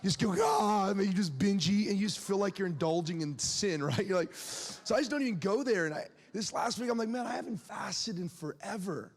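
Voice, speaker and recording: A young man in his late twenties preaches in a loose, candid way, laughing and turning to the crowd with questions. His voice sits high, and a long reverb trails his words around a large hall. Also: expressive, brisk, few pauses. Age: 20s. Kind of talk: sermon.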